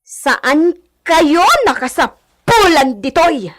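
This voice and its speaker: An actress playing a haughty, domineering young hacienda heiress in an Ilocano radio drama, with an imperious delivery. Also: female voice, high voice, animated, extremely slow, exaggerated voice. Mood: imperious, scolding.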